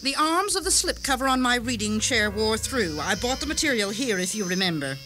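A woman's voice: a little high, animated, a little fast, lightly acted. A woman playing a prim, disapproving, domineering customer of fifty in a radio story, fussy and insistent. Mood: haughty insistence. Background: music.

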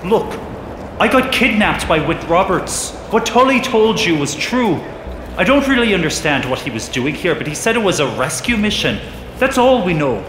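A man's voice: high voice, big pitch swings, normal speed, background noise. A man playing an agitated, imploring kidnap victim and sidekick in an audio drama. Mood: uneasy. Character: anxious, pleading male kidnap victim and sidekick. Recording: echoey cave effect. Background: music.

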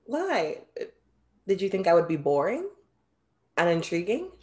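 A woman acting a lively, swooping-voiced ancient muse. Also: on the low side, unhurried. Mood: assertive. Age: young adult. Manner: theatrical, formal wording. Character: spirited young-looking ancient Greek muse woman, proud and playful. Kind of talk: staged play reading. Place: indoor room, video call.

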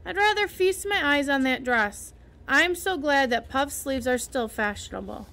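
A woman's voice: high-pitched, a bit bright. Woman doing Anne, a gushing girl. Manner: breathless, rapturous, exclamatory. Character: dreamy, gushing young orphan girl.

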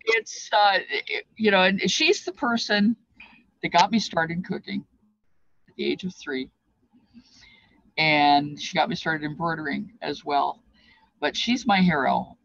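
A woman's voice: low voice, measured pace, creaky, pauses often, fairly bright tone, no room sound, dull audio. An older woman talking informally and reflectively, explaining things in a rambling way with bits of reminiscence. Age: older, past fifties. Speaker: presenter explaining feast dishes. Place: small cluttered craft room, indoors. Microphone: webcam at desk distance.

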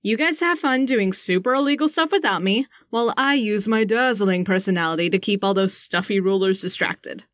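A woman's voice: mid-range voice, brisk, few pauses, a bit bright, no echo, clean studio sound, natural voice. A woman playing a brash, sarcastic young woman posing as a planetary ruler in a science fiction audio drama, talking in breezy slang. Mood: eager, joking.

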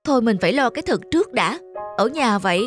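A woman voicing an earnest, polite young man in an animated moral story. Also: fairly high pitch, fast, close to an everyday voice. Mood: worried, musing. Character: earnest young man, spendthrift son learning independence. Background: background music.

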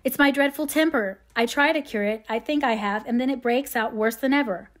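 A woman's voice: fairly high pitch, a little fast, close to an everyday voice. A woman voicing a passionate, remorseful fifteen-year-old girl in a storybook, earnest and pleading. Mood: despairing.

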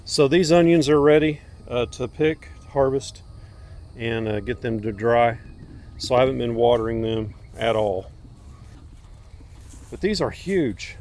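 A man's voice: mid-range voice, lively intonation, a little slow, long pauses, a little mellow. A man giving a casual, unscripted garden tour outdoors as a homestead vlogger, in a folksy, plainspoken way. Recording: outdoor recording with faint ambient noise.

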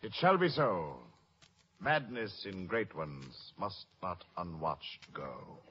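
A man's voice: deep voice, big pitch swings, slow, full character voice. An actor playing a grave, suspicious king with weighty declamation in a 1950s radio Shakespeare drama. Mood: grave, wary. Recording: muffled 1950s radio broadcast recording.

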